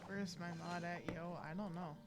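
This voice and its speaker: A woman's voice: on the low side, steady tone, normal speed, heavy background noise. A woman giving casual live gameplay commentary as a streamer, in a rambling, joking, slangy way. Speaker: game streamer. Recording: game music behind voice.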